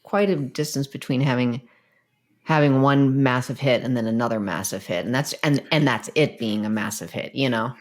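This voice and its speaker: A woman's voice: very deep voice, moderate pace. A woman co-hosting a music podcast in casual, conversational discussion.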